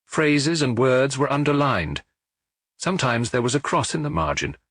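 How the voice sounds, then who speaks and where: A man's voice: mid-range voice, animated, normal speed, fairly bright tone, no echo, clean studio sound. A man voicing a talkative, forthcoming bookshop owner in the dialogue of a detective audiobook. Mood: bemused, explanatory. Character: talkative Parisian bookshop owner recalling a reserved customer.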